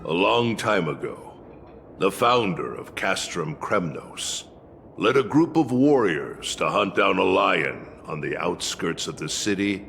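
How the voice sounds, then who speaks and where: A man playing a wily, gruff old warrior mentor in a video game, with a grand, theatrical, teasing drawl. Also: low-pitched, a little hiss or hum, heavily acted. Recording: long reverb under loud music.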